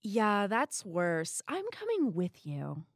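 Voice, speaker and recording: A woman's voice: medium pitch, wildly swooping pitch, slow, slight background noise, full character voice. A woman playing a classy, guarded, sardonic witness in an audio drama.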